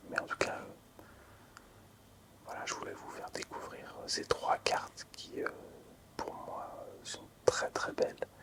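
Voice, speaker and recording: Whispering man, soft ASMR delivery. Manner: soft, unhurried, hesitant with pauses. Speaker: video creator showing collectible cards.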